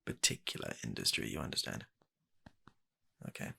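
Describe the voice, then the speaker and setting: Deep, gravelly, hushed British gentleman matchmaker played by a man. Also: huge pitch swings, normal speed, exaggerated voice. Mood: courteous, hesitant. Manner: hesitant, courteous, reassuring salesman patter. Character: kind, polite British gentleman running a dating agency. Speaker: ASMR performer. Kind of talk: ASMR roleplay, softly spoken.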